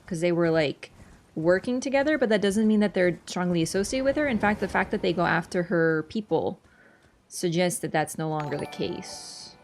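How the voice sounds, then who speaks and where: A woman with a Toronto accent streaming a video game, chatting with viewers in a rambling, self-deprecating way. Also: medium pitch. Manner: scatterbrained and rambling, self-deprecating, loses her train of thought. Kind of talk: casual livestream chat, reading and thanking viewers.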